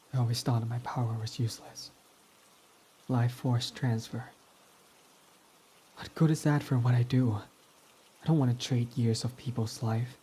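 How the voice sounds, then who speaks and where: A man's voice: mid-range voice, mellow, a little hiss or hum, close to an everyday voice. A man voicing a proud, defensive young male villain in an ASMR roleplay who swears when flustered, over faint rain. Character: proud tsundere young male villain, gruff but smitten.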